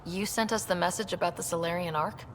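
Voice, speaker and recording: A woman's voice: on the low side, steady tone, fast talker, big-room sound, a little hiss or hum, lightly acted. A woman playing a direct, inquisitive young explorer and team leader in a video game, talking plainly. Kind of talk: video game character dialogue.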